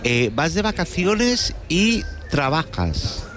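A man's voice: a little high, big pitch swings, slow pace, fairly bright tone. A man co-hosting a radio show who questions callers with enthusiasm. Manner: enthusiastic, inquisitive. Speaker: radio co-host.

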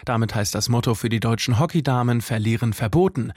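A man presenting the sports news on radio, reading scripted links in a brisk, lightly chatty way. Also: mid-range voice, darkish tone, slightly rough, no echo, clean studio sound.

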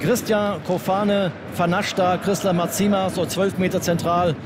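High-pitched male football commentator, noisy stadium. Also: level tone, unhurried. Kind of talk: live sports commentary. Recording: noisy live stadium outside broadcast.

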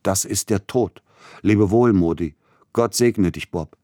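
A man's voice: deep voice, moderate pace, slightly rough, no echo, clean studio sound. A man reading the part of a dying composer in a fever, agitated and exclamatory, swinging between accusation and tenderness, in an audiobook. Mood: anguished farewell.

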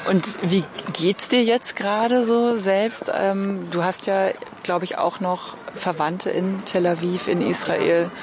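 A woman hosting a conversational interview, putting her questions in a tentative, softened way. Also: medium pitch, fairly even intonation, slow, few pauses, noisy background. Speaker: interviewer, host.

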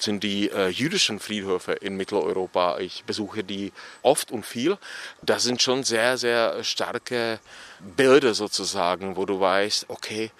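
Low-voiced guy musing about graves, hesitant, full of fillers, outside. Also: fairly bright tone. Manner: reflective, many fillers like "sozusagen" and "in der Tat". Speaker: author. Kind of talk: walking conversation. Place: outdoor cemetery.

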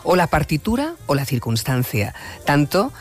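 A man hosting a late-night radio interview, speaking in a deliberate, musing way with rhetorical flourishes. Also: a little high, wildly swooping pitch. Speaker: radio host interviewing a musician. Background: music playing under the talk.

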